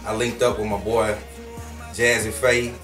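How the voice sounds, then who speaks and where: A man's voice: fairly low pitch, flat delivery, a little slow, far from the mic. Houston rapper guy chatting loose, lots of um and you know, echoey room, music going. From Houston, Texas. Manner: laid-back, slangy, with many fillers. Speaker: guest rapper. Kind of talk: casual interview.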